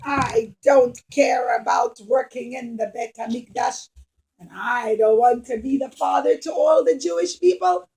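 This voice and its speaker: Rude, hungry young hunter for a kids' puppet show, played by a woman: loud, high-pitched, whiny, impatient. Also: measured pace, low-quality recording. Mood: careless, eager.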